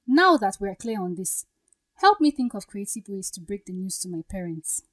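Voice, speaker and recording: A woman's voice: medium pitch, very animated, normal speed. A woman playing a defiant, wounded young bride-to-be, speaking firmly and pointedly in a radio drama. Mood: resolute. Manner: firm, pointed.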